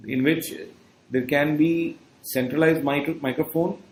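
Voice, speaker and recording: A man's voice: on the high side, fairly even intonation, a little slow. A man with an Indian accent giving an instructional lecture, explaining step by step in a didactic way. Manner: didactic, often checking in with "okay". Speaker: trainer. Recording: roomy echo, faint background noise.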